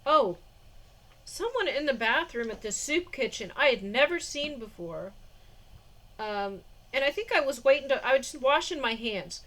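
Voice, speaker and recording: A woman's voice: sits high, lively intonation, normal speed, a bit bright, poor audio. A woman in her forties talking in a casual diary vlog, rambling with many fillers and frequent laughs, recorded indoors on a phone or camera mic. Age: 40s. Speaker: vlogger.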